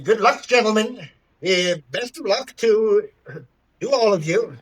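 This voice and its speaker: A man's voice: sits high, unhurried, slightly rough, no room sound, poor audio. A man acting a timid, fussy frontier hotel keeper in a 1950s radio western, faltering nervously. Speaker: radio actor.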